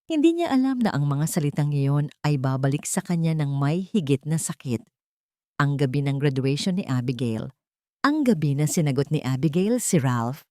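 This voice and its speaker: A female audiobook narrator tells a scripted dramatic story. Her voice sits fairly low for a woman, and the recording is dry, with very little room sound. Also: warm tone, dry recording.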